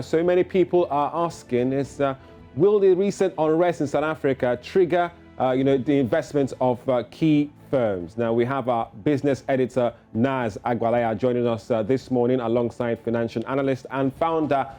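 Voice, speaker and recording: A man's voice: mid-range voice, normal speed, mellow, soft background music. A man anchoring a morning news show, presenting with many fillers and hesitations.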